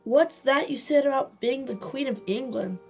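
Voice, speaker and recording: A woman's voice: sits high, normal speed, soft background music. A woman playing a modest, brainy child in an audio drama, with precise, bookish phrasing. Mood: curious.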